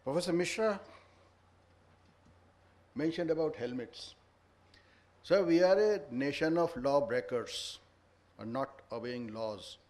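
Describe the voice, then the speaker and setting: A man's voice: fairly high pitch, takes it slowly, long pauses, darkish tone. Earnest man giving a formal address. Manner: earnest, lecturing, stressing each point. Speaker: conference speaker. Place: conference hall.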